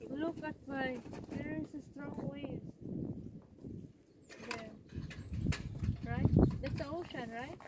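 High-pitched Filipino woman, slow and lively. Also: heavy background noise. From Philippines. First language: Filipino language. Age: young adult. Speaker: vlogger. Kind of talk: casual chat while filming. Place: outdoors on a sailboat and rocky shore. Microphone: handheld camera. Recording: music over outdoor vlog audio. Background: loud music.

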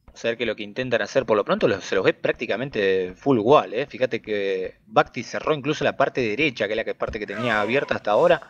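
Brief: Argentine male co-caster, quick delivery, muffled online voice chat sound. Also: on the low side. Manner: fast, steady analytical reads. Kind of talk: live game commentary over voice chat. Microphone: voice chat headset. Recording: dull lo-fi voice chat audio.

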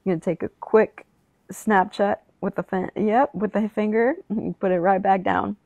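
A woman's voice: medium pitch, steady tone, moderate pace, darkish tone, dry recording, dull audio. A woman talking casually to her phone camera.

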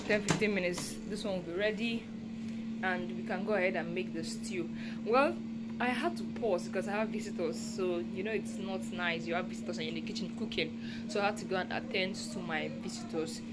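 A young Nigerian woman chats casually and thinks aloud as she films herself cooking on a handheld phone in her home kitchen. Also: fairly low pitch. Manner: chatty, exclaiming, thinking aloud. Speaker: food vlogger. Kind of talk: casual cooking vlog chat. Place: small home kitchen, indoors. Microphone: handheld phone behind the camera. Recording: noisy phone recording with kitchen cooking sounds. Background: clear cooking noise.

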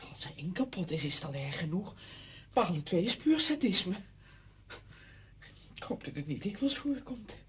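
A woman's voice: lowish voice, big pitch swings, moderate pace, pauses often, a little hiss or hum. An actress playing a chatty, apologetic married woman visiting a friend in a radio drama, effusive, rambling and flustered.

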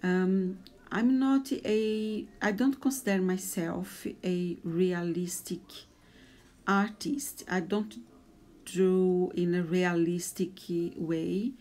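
A woman in her forties from Brazil, speaking English with a Brazilian Portuguese accent and talking softly and a little hesitantly as she explains a drawing tutorial. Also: fairly low pitch, fairly even intonation, slow pace. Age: 40s. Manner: soft, hesitant, a little nervous. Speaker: art tutor.

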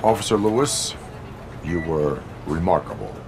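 An actor playing a stoic cyborg police officer in a game, with stiff, formal, mechanical delivery. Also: male voice, low voice, lively intonation, moderate pace, a little mellow, slight background noise. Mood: flat, dutiful.

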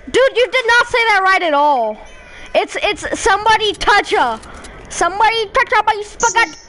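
Excitable high-voiced young gamer woman. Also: moderate pace. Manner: chatty, excitable, teasing. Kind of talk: casual gaming chat.

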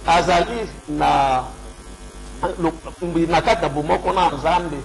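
A pastor with a cold speaks with a gravelly, fairly high voice, citing scripture at an unhurried pace. He sits at a studio radio mic. A clear electrical hum runs underneath. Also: poor audio. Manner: unhurried, quotes scripture, mixes French and Lingala. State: has a cold. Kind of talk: preaching on a radio call-in show. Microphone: studio mic with headphones.

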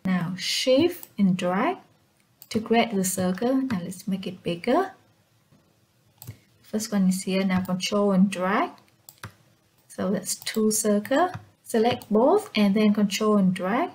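A woman whose first language is Vietnamese, narrating a step-by-step software tutorial as its presenter. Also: medium pitch, expressive, takes it slowly, pauses often.

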